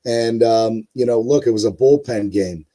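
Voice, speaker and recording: A man with a hoarse voice hosting a casual live-stream sports show, rambling with many fillers and talking to his chat viewers. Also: medium pitch, normal speed, warm tone. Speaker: host.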